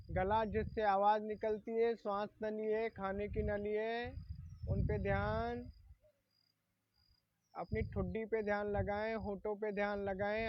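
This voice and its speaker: A man leading a guided relaxation meditation as a yoga instructor, giving instructions and naming body parts in short phrases. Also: high-pitched. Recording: dull lo-fi sound in echoey room.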